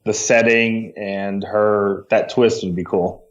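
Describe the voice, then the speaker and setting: A man's voice: lowish voice, steady tone, slow pace, lo-fi sound. A man guesting on a podcast as a filmmaker, laid-back and agreeable in casual brainstorming.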